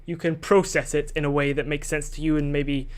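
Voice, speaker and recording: Young adult male solo podcaster, slightly high voice; rambling, self-doubting delivery with casual swearing. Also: level tone, brisk, clean tone. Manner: rambling and self-questioning, loses his train of thought. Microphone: desk microphone.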